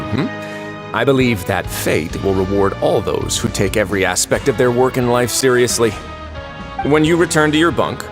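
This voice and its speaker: Youngish prison warden duke: composed, formal, dryly wry, very expressive melody, clear background music. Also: male voice, on the low side. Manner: courteous, measured, wry, formal. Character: calm, mysterious youngish male prison warden duke, courteous. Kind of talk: video game voice acting.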